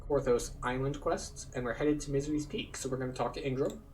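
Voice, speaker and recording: A man's voice: medium pitch, steady tone, brisk, darkish tone, far from the mic, low-quality recording. A man giving casual, explanatory gameplay commentary as a tour guide. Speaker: video game tour guide and player.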